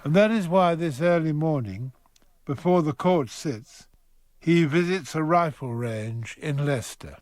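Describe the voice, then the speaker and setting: A man's voice: on the high side, measured pace, a little mellow, no room sound, clean studio sound, lightly acted. A man narrating a true crime radio drama as a storyteller, in scripted present-tense prose. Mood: measured. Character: male storyteller introducing a true crime trial.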